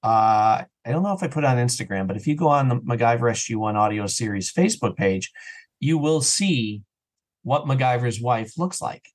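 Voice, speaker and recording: A man's voice: medium pitch, animated, moderate pace. A man chatting casually as an interview guest, telling stories and acting out imagined remarks as he goes. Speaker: podcast guest, audio drama creator.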